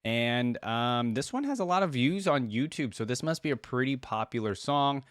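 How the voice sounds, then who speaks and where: A man's voice: medium pitch, lively intonation, moderate pace, fairly bright tone, clear, resonant tone, no echo, studio quality. A young man hosting a reaction video, commenting casually in a laid-back, musing way.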